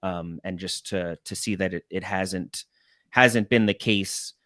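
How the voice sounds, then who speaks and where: A man in his thirties hosting a casual sports interview, speaking haltingly and often repeating words as he goes. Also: deep voice, fairly even intonation, fairly bright tone, no echo, studio quality. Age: 30s. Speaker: podcast host, interviewer.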